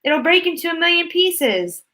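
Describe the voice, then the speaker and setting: A woman voicing an excitable old grandfather in a storybook reading, shouting in panic and thrill. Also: very high-pitched, normal speed, voice pushed up, heavily acted. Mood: alarmed.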